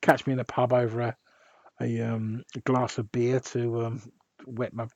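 A man hosting a music podcast, hoarse and husky from a sore throat, rambling with restarts. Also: medium pitch, flat delivery, normal speed, no room sound, lo-fi sound. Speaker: podcast host. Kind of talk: casual podcast chat.

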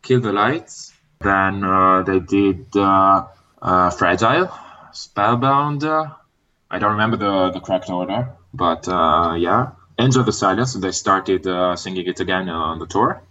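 A very low-voiced man whose first language is Italian talks casually as a guest fan. He speaks in halting English, pausing often to search for words. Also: sharp tone, muffled recording. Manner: hesitant, many "uh" fillers. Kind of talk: casual podcast chat.

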